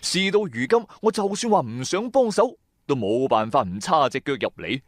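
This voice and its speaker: Animated Cantonese male storyteller. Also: mid-range voice, normal speed, no room sound, studio quality. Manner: dramatic, colloquial. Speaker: narrator of an audio drama. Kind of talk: first-person scripted storytelling, supernatural radio drama.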